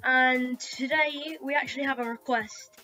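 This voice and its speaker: A woman's voice: sits high, fairly even intonation, moderate pace. A woman hosting a racing game playthrough with a slightly scratchy voice from a sore throat, chatting casually and exclaiming while she concentrates. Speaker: gaming channel host. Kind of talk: casual gameplay commentary. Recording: loud game music underneath. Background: game music and engine sounds.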